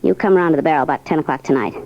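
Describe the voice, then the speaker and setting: An actress playing a brassy, slangy American night club hostess, tough but flirtatious, in a 1940s radio crime drama. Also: female voice, low voice, racing. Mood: flirty, confident. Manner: sassy, slangy, world-weary wisecracks. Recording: muffled old radio recording.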